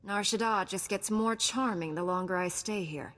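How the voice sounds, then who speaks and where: A woman voicing a composed, principled young Jedi knight in a video game, speaking in a measured, formal way. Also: mid-range voice, a bit bright, close to an everyday voice. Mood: dry, resolute.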